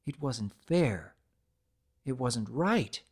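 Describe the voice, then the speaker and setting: A woman's voice: rumbling low, animated, drawn-out words, darkish tone, husky. A woman giving a dramatic, scripted narration of a story as its narrator.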